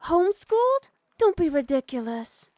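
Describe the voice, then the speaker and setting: High-voiced sweet, teasing young wife acted by a woman. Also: sing-song, normal speed, a little mellow, dry recording. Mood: indignant pleading. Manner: sweet, coaxing, playfully scolding. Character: doting, teasing, pregnant young noblewoman wife. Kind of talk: intimate ASMR roleplay.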